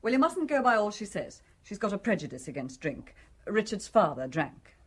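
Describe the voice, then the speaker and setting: An actress playing a brisk, guarded and protective household companion in a radio drama, prim and defensive. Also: female voice, medium pitch, sing-song, breathy edge. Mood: curt, defensive.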